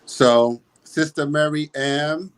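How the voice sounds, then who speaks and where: A man moderating a video call, introducing things off the cuff in halting, measured phrases. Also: a little high, steady tone, clear, resonant tone. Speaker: host, moderator.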